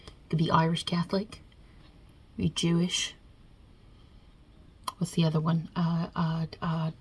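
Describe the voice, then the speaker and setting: Husky New York Italian mob-boss deity for ASMR roleplay, non-binary, low register, wry, hesitant, muttering asides played by a woman. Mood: wry, casual. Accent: put-on Italian-American New York.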